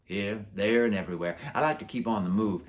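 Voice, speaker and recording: A man's voice: lowish voice, fairly quick, close to an everyday voice. A man voicing a brash, boastful, streetwise male werewolf in a children's storybook, with slangy, chummy, showy talk. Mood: breezy, chummy. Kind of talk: children's book character voice.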